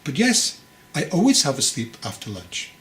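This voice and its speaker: A man's voice: medium pitch, huge pitch swings, moderate pace, a bit bright, voice pushed up. A man voicing an anxious, petulant young male pupil who protests plaintively. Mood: puzzled, irritated. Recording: roomy echo, faint background noise.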